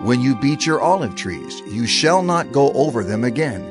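A solemn male lawgiver addresses his people in an audio scripture drama, acted by a man. His delivery is declamatory and authoritative, with lively, sweeping intonation. Music plays clearly behind his deliberate words. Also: medium pitch, lightly acted. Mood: solemn, commanding. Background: background music.

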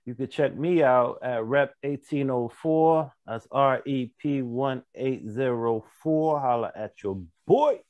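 American dude on a podcast, slow slangy talk, dry sound. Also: male voice, medium pitch, darkish tone, no echo, muffled recording. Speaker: podcast co-host. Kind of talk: casual podcast chat.

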